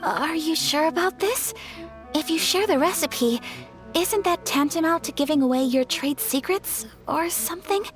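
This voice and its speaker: A woman voicing a polite, graceful young noblewoman in a video game, speaking formally and softly. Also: extremely high pitch, animated, moderate pace, music in the background. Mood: concerned. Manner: formal, soft-spoken, courteous.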